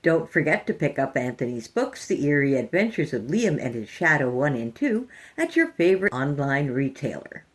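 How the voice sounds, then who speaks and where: Very low-voiced woman narrating fiction. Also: big pitch swings, moderate pace, hardly any pauses. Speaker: podcast host and story narrator. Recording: faint music behind. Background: music.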